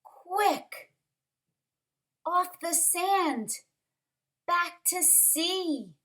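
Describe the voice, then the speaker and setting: Woman voicing a tiny, urgent, high-pitched snail. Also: expressive, drawn-out words, sharp tone, heavily acted. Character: tiny female snail, urgent, brave and determined.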